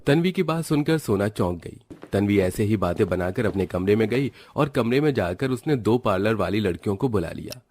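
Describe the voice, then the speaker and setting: Guy with a low voice reading out a story, some noise and clicks behind. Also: expressive, a little fast, hardly any pauses, a little mellow. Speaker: narrator. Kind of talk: scripted storybook narration.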